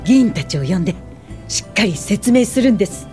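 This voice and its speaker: A woman's voice: mid-range voice, lively intonation, brisk, dark tone, faint music behind, natural voice. A woman acting in a Japanese radio drama as a composed, earnest and determined welfare pioneer, speaking articulately. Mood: earnest, resolute.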